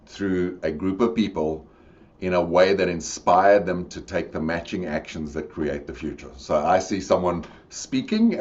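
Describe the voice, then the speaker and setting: Deep-voiced South African man, reflective. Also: darkish tone. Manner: reflective, expansive explainer. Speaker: podcast guest. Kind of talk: conversational interview. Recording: dull lo-fi audio.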